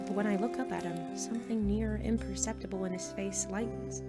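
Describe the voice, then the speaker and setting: A woman's voice: mid-range voice, fairly even intonation, fairly quick, music in the background, lightly acted. A woman playing a cynical, nerdy teenage secret superhero in an understated, naturalistic audio drama style. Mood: wistful, reflective. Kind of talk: naturalistic audio drama acting with first-person narration.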